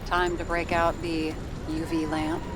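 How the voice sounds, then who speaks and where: An actress playing a skeptical police partner in a noir video game, blunt, questioning and sarcastic. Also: female voice, on the low side, big pitch swings, a little slow, vocal fry, very noisy, heavily acted. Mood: dry, skeptical.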